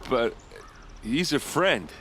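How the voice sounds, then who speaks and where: A man playing a gruff, blustery but loyal guard captain in a video game, talking in a blunt, earthy, informal way. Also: mid-range voice, animated, slow, fairly bright tone, slight background noise. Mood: troubled, defensive. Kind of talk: video game dialogue acting.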